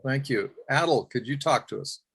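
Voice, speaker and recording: A man's voice: medium pitch, animated, moderate pace, gravelly, fairly bright tone, slightly rough. A man presenting at a workshop, recounting a field project and answering questions, with frequent "uh" fillers and self-corrections.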